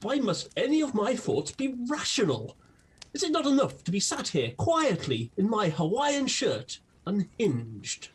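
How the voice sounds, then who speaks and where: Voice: mid-range voice, wildly swooping pitch, unhurried, a little hiss or hum. A performer playing an eccentric, gluttonous, unhinged detective in a comic radio play, grandiloquent, with a British accent. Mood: lofty, whimsical. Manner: grandiloquent, theatrical.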